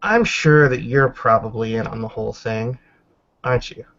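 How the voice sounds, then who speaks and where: A dull, lo-fi recording of a man playing a wary female werewolf in a tabletop game. She speaks formally and deliberately, weighing her words with blunt caution. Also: mid-range voice, fairly bright tone, close to an everyday voice. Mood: probing, wary.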